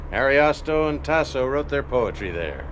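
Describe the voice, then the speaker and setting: A man's voice: medium pitch, very animated, normal speed, fairly bright tone, slight background noise, poor audio. An actor playing a pedantic, good-humoured American father in a radio drama, explaining things like a guidebook. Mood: informative, cheerful. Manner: didactic, guidebook-like.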